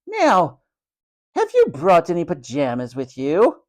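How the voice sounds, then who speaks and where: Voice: mid-range voice, huge pitch swings, takes it slowly, a little mellow, pitched above the performer's own voice. A storyteller voicing a cruel, sneering hospital matron, a domineering woman who snaps and mocks. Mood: stern, snapping. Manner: snapping, mocking, sarcastic, domineering.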